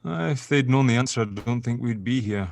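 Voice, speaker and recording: A man's voice: mid-range voice, a little fast, creaky, no room sound, studio quality, close to an everyday voice. A man playing a sardonic, joking sceptic in an audio drama table read, bantering informally. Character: sardonic, sceptical spirit-dealing grave opener, gently joking.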